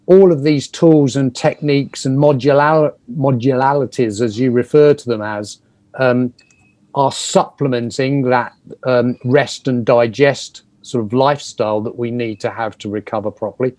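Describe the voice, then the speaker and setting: Male host on a video call, dry close sound, measured and a little hesitant. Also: mid-range voice, steady tone, a little mellow, no room sound. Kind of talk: hosting a video call chat.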